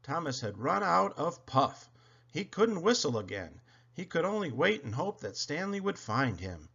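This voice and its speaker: Animated man reading a storybook. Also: on the high side, moderate pace, vocal fry, husky, dull audio. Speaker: storybook reader. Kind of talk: expressive children's read-aloud narration.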